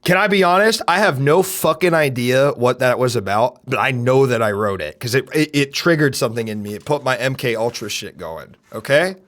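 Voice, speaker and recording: Man, young adult, deep voice, loud and brash, very lively pitch, casual stream chat. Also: brisk, hardly any pauses. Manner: loud and brash, swearing, swinging from shouts to mutters.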